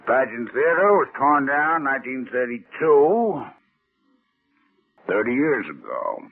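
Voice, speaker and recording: Gravelly, skeptical police lieutenant. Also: male voice, mid-range voice, sing-song, full character voice. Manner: deliberate, probing, sardonic. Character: skeptical, firm police lieutenant questioning a suspect. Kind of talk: mid-century radio drama acting. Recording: tinny narrow-band old radio.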